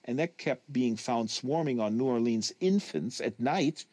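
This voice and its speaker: A man's voice: medium pitch, a little slow, darkish tone, no room sound. A man reading a literary novel aloud in a live reading, leaning on phrases for emphasis.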